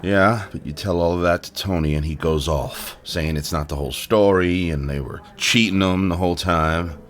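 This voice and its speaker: A man's voice: moderate pace, lightly acted. A man playing a weary, plain-spoken middle-aged police detective in an audio drama. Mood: blunt. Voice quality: deep voice. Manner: plain-spoken, blunt, emphatic. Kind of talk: naturalistic audio drama acting.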